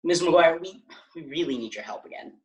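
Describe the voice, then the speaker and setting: A woman reading a deadpan teenage boy. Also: low-pitched, a little fast, low-quality recording. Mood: pleading. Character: wry teenage boy friend.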